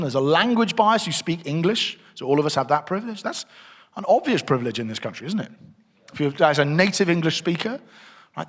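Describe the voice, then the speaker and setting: A middle-aged man giving a conversational church teaching session, thinking aloud as he works through his slides. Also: fairly high pitch. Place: church hall. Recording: long reverb of a hall.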